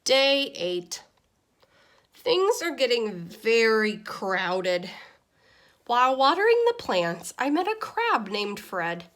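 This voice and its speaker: Woman as a slow, dramatic, grumpy little goldfish. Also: fairly high pitch, exaggerated voice. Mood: exasperated. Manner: deliberate, dramatic, expressive. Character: small grumpy, easily exasperated pet goldfish narrating a diary. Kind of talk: expressive children's picture-book read-aloud.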